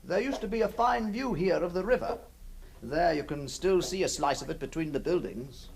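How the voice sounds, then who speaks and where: An actor playing a genteel middle-aged gentleman haunted by his past, musing in a refined, theatrical way in a radio drama. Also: on the high side, animated, normal speed, gravelly. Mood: polite, genial. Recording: old radio recording with faint noise.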